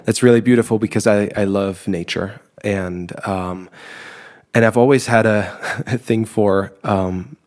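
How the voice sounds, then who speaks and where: A man, co-hosting a podcast in a relaxed, rambling chat. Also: fairly low pitch, a little slow. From Germany. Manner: relaxed, rambling with restarts and laughs. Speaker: podcast co-host. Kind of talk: casual chat.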